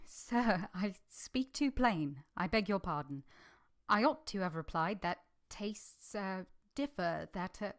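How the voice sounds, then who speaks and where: A woman playing a quiet, plain-spoken young governess in a vintage-style radio drama, earnest and measured, both in dialogue and first-person narration. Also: mid-range voice, expressive. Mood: reserved, polite.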